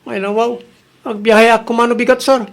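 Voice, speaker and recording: A man's voice: very high voice, little pitch movement, normal speed, vocal fry. A man with an Ilocano accent playing an earnest, worried jeepney driver who defers to his boss in a comedy radio drama. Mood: deferential, hopeful.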